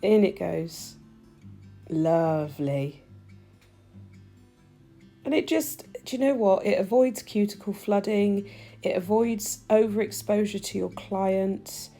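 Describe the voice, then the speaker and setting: Woman, nasal and stuffy, gravelly low tone, mellow, soft music behind. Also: takes it slowly, long pauses. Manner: chatty, gushing, talks while working. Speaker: nail technician demonstrating gel polish. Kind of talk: chatty tutorial voice-over. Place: home nail studio.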